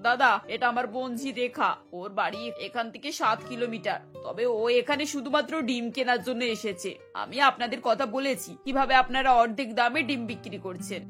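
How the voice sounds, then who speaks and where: A middle-aged cartoon aunt, played by a woman, caring and breezily persuasive. The voice sits a little high, over soft background music. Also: moderate pace, few pauses, close to an everyday voice. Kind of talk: cartoon voice acting.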